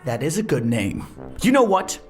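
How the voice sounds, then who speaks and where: A man's voice: a little high, sing-song, normal speed, faint music behind. An actor playing an indignant, pompous male official who blusters in an audio drama. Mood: sarcastic, outraged.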